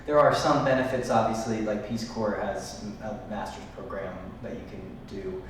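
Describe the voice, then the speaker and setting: A man's voice: lowish voice, steady tone. Reflective man, echoey hall from afar. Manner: reflective, halting with many fillers. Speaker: panelist. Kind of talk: panel talk to a live audience. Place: large room at a live event. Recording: echoey hall, speaking from far off, noisy.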